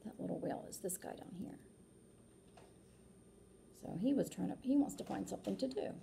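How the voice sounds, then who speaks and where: A woman reading a children's picture book aloud like a teacher, animated, with explanatory asides and questions to her listeners. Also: medium pitch, fairly quick, vocal fry, a little hiss or hum.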